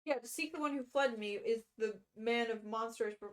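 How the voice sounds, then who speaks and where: A woman livestreamer who reads aloud and talks casually, in an expressive, self-deprecating way. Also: fairly high pitch, normal speed. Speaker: livestreamer reading a book aloud.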